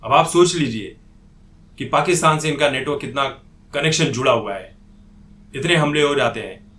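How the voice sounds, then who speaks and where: A man's voice: mid-range voice, moderate pace, a bit bright. A man giving an opinionated political commentary monologue in an indignant, accusatory manner. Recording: echoey room.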